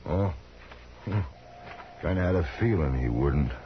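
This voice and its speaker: An actor playing a cool, menacing gunfighter in a 1950s radio western, speaking in a folksy, unhurried rural American West accent. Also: male voice, very deep voice, animated. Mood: coolly amused. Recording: muffled, noisy old radio recording.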